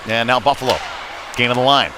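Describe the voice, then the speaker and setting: A man with a mid-pitched voice gives live hockey commentary over clear rink noise. His delivery is folksy and chatty, picking up energy as the play develops. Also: a little fast. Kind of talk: live sports commentary with casual asides. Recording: noisy live rink ambience.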